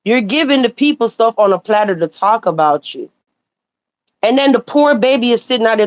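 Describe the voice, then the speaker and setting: A woman livestreaming casual commentary, animated and sassy, answering viewer comments, her voice a little hoarse. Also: fairly high pitch, no room sound. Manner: animated, sassy, talks back to chat comments. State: slightly hoarse. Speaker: livestreamer reading chat.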